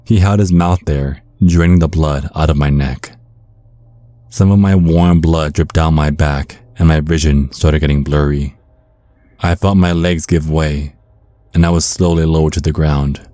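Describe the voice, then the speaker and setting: Man playing a very deep-voiced vampire storyteller. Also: steady tone, a little slow, mellow, no echo. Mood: ominous. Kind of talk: first-person horror storytelling, ASMR.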